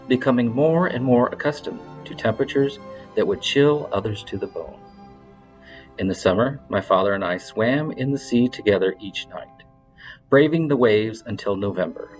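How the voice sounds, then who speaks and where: A man with a fairly low voice narrates a novel aloud. His delivery is measured and expressive, in the manner of an audiobook storyteller. Faint music can be heard with the reading. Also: poor audio. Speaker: reader of literary fiction.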